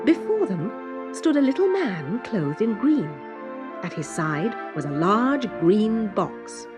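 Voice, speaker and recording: A woman reading a children's story as narrator, in an expressive, dramatic storytelling manner. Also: medium pitch, takes it slowly, loud music behind the voice.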